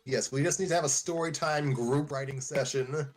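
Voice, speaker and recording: A man's voice: medium pitch, moderate pace, a little hiss or hum. A man hosting a casual group podcast, chatting and reading fan fiction aloud.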